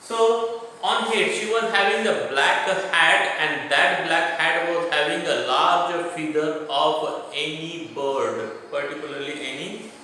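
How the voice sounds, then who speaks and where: A man's voice: on the high side, bright, forceful tone. A man with an Indian accent teaching English literature as a lecturer, explaining a text and its word meanings in short, repeated, didactic phrases. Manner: didactic, repetitive, pausing between short phrases. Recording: very echoey hall, distant mic, faint noise.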